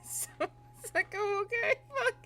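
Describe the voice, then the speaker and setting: A woman's voice: very high-pitched, a little slow, soft background music. A woman livestreaming a game, chatting casually and expressively with viewers. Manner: informal, expressive. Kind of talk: casual chat to stream viewers.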